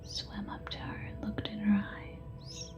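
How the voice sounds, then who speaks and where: A woman telling stories as an ASMR host in a gentle, hushed whisper with long pauses. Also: music in the background. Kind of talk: ASMR whispered bedtime storytelling.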